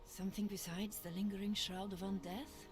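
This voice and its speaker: A woman's voice: medium pitch, level tone, normal speed, lightly acted. Steadfast paladin commander, played by a woman, composed and firm, game dialogue with music underneath. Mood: stern, resolute. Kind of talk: video game dialogue acting. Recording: music behind.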